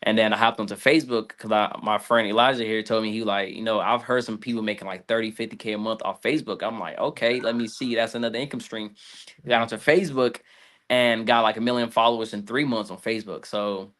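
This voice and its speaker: Male, 20s, content creator as interview guest. Lowish voice, very quick, casual delivery. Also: fairly even intonation, a bit bright. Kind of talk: casual interview, telling own story.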